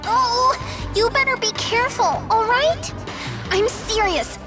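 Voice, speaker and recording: A woman's voice: extremely high pitch, slow. An actress voicing a perky, clingy young female companion in a video game, talking in a bubbly, teasing way. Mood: worried. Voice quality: bubbly, teasing valley-girl talk. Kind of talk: video game cutscene voice acting. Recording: game audio with loud music behind.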